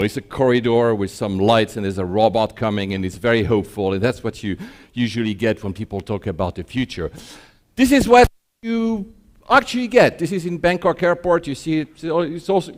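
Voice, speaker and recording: Wry middle-aged man giving a keynote. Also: mid-range voice. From Geneva, Switzerland. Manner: conversational, wry, self-deprecating humour, rhetorical questions. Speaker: conference keynote speaker. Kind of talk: informal keynote presentation on stage. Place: conference stage, addressing an audience.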